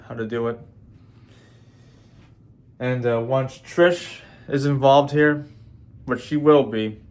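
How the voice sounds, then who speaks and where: A man in his twenties talking casually to his viewers in a halting way, with many restarts and repeated words. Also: medium pitch. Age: 20s. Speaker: vlogger. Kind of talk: casual vlog talk to viewers.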